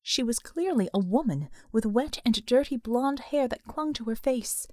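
A woman reading scripted fiction as an audiobook narrator, with measured, suspenseful, dramatic delivery. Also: fairly high pitch, lively intonation, darkish tone, no echo, studio quality.

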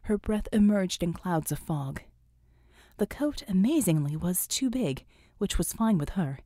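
A woman's voice: fairly low pitch, animated, unhurried, mellow, no echo, clean studio sound. A woman reading scripted fiction as an audiobook narrator.

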